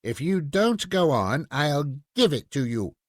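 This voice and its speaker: Man voicing a high, indignant little girl. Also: big pitch swings, measured pace, no room sound, clean studio sound. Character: little girl, bossy, insistent, easily indignant.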